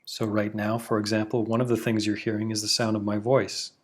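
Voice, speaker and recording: A man leading a guided meditation, giving slow, soothing instructions with long pauses. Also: on the low side, level tone. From Canada.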